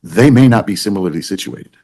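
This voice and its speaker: A man speaking as a judge in a courtroom discussion, thinking aloud in a halting, deliberative way with false starts. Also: fairly low pitch, expressive, dark tone. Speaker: judge presiding over a court hearing.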